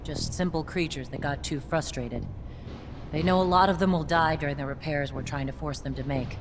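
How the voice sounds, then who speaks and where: A woman's voice: deep voice, moderate pace, noisy background. A woman playing a tough, pragmatic army captain in a video game, matter-of-fact and authoritative. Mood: pragmatic briefing.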